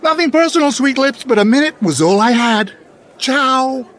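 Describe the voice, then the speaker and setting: A man's voice: extremely high pitch, animated, normal speed, a bit bright. A man playing a cocky, flirtatious two-headed alien rogue with a slangy, swaggering manner in a comic science fiction radio drama.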